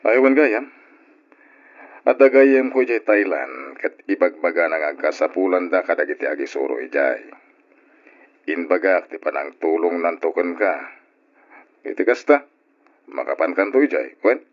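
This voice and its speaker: A man's voice: deep voice, expressive, unhurried, long pauses. A man playing a caring, persuasive older brother in an old-style radio drama, on a dull lo-fi recording. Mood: fond, advising.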